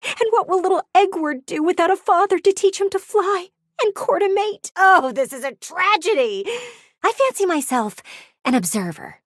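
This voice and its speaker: A woman's voice: very high voice, lively intonation, moderate pace, dry recording, clean studio sound. A woman voicing a gossipy, vain and dramatic talking house window for a video game, with a nosy, fretful, teasing manner. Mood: aloof, chiding. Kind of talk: video game character voice acting.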